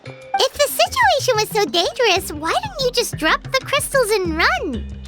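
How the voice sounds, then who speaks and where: A woman's voice: extremely high pitch, very animated, unhurried, exaggerated voice. A woman voicing a tiny, chirpy floating companion sprite in video game dialogue, talking in bubbly exclamations. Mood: questioning. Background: game soundtrack music.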